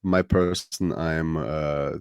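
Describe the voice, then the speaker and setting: Deep-voiced Romanian man, halting speech. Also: fairly even intonation, darkish tone, smooth. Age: 30s. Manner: thoughtful, halting, many fillers and restarts. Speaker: podcast guest, strongman athlete. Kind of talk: casual interview chat.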